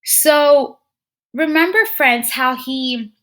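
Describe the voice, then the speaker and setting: A woman teacher reading a picture book aloud to young children, expressively, pausing to muse and put questions straight to her listeners. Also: high-pitched. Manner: expressive, addresses children directly with frequent questions and musing hums.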